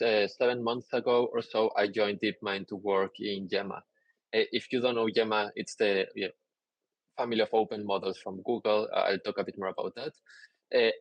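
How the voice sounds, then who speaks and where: A young man from Peru giving a conference talk over slides on a video call, relaxed and explanatory with many "uh" fillers. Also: lowish voice, no echo, low-quality recording. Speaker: conference speaker. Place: indoor room, on a video call.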